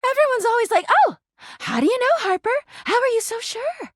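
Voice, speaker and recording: A woman's voice: very high-pitched, animated, fairly quick, dry recording, clean studio sound. A woman voicing a clingy, jealous talking laundry hamper in a video game, gushing sweetly and flipping into bitter drama. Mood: accusing, jealous. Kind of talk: video game character voice acting.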